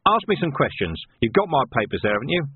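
Man acting a husky, defiant policeman. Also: medium pitch, very animated, quick pace, dry recording, phone-quality sound, voice pushed up. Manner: blunt, assertive. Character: gruff, defiant, shrewd policeman taking command. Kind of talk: audiobook character acting.

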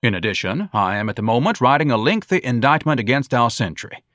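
A man's voice: mid-range voice, sing-song, fairly quick, a bit bright, dry recording, studio quality, voice pushed up. A man voicing a pompous, huge thirty-year-old mama's boy who speaks in a florid, condescending way. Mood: self-important.

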